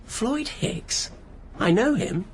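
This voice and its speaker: A man playing an earnest, curious and soft-hearted companion to a star in a radio mystery drama. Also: high-pitched, expressive, slow pace, creaky, slight background noise, heavily acted.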